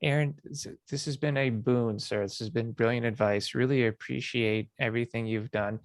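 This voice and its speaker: A man's voice: mid-range voice, fairly even intonation, moderate pace, no echo. Affirming male podcast host, dry sound. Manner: affirming, encouraging. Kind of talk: casual podcast interview.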